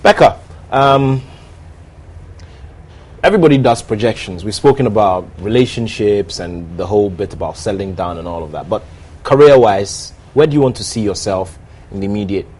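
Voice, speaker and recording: A male television interviewer from Ghana, relaxed and teasing, with frequent short acknowledgements. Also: lowish voice, moderate pace, husky. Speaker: TV interviewer host.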